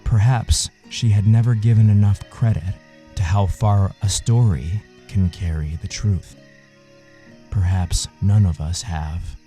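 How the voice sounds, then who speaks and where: A man narrating a literary audio story in a measured, solemn way. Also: lowish voice, dark tone.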